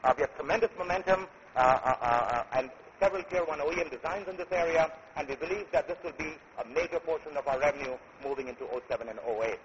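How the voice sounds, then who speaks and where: A man presenting his company at a conference, speaking in a halting way with many filler sounds. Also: a little high. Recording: muffled recording with faint noise.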